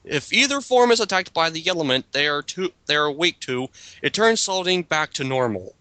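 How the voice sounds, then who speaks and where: A man's voice: a little high, animated, normal speed, pushed and bright, no echo. An exasperated man reading aloud and commenting casually in a group chat, swearing now and then.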